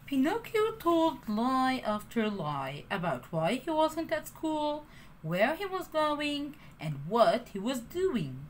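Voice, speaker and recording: A woman reading a children's story aloud in an expressive, lilting way. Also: sits high, slow, a little hiss or hum.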